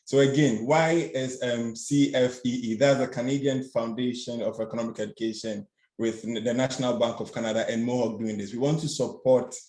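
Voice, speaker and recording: A young man from Ghana presenting a student finance webinar on a video call, nervous and halting, stammering and restarting his sentences. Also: mid-range voice, fairly even intonation, a little mellow. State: a bit nervous. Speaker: student workshop facilitator. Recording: roomy echo.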